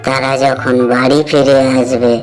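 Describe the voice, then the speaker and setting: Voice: mid-range voice, little pitch movement, measured pace, close to an everyday voice. A performer voicing a nagging, anxious female monkey wife and mother in a children's cartoon. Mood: worried, doubting. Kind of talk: cartoon dialogue.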